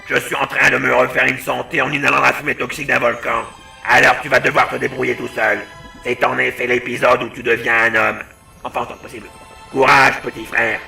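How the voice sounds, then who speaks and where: A man playing a gruff, stoic older brother warrior in a comic anime parody dub, gravelly, solemn and deadpan. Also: medium pitch, brisk, long pauses, heavily acted. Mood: solemn mockery. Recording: faint music behind the voice.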